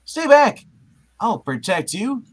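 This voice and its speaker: Young male superhero, acted by an amateur reader, protective, hammy, halting, animated pitch, slightly rough voice, live comic reading. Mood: urgent. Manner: hammy, halting read-aloud with asides.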